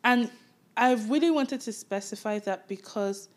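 A woman's voice: on the high side, unhurried. A Nigerian woman in her twenties, co-hosting a podcast in casual, reflective chat and laughing easily. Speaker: podcast co-host.

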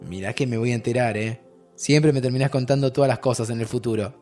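A young Argentine time traveler, played by a man, talks with easy affection and soft laughter, comforting his friend in a mid-range voice. Faint music plays under the scene. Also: mid-range voice, fast talker, close to an everyday voice. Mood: caring. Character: warm young male time traveler saying goodbye. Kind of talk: audio drama acting.

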